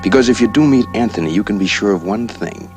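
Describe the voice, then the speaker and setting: An actor playing the grave, foreboding narrator of an eerie anthology drama. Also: male voice, fairly low pitch, fast talker, darkish tone, lightly acted. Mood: ominous warning. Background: clear music.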